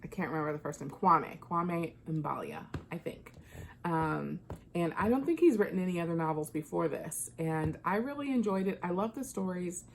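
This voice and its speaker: A woman with a low, slightly gravelly voice talks casually in the manner of a book vlogger. She is conversational and thinks out loud as she goes. There is faint noise behind her. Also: lively intonation, moderate pace. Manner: informal, conversational, thinking aloud. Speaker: book reviewer. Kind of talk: casual book chat vlog.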